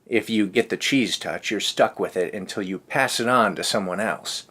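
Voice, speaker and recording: Low voiced guy doing a casual read aloud for kids, easygoing and chatty. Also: normal speed, bright, forceful tone. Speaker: host of a children's read-aloud show. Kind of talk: casual story reading with chatty asides.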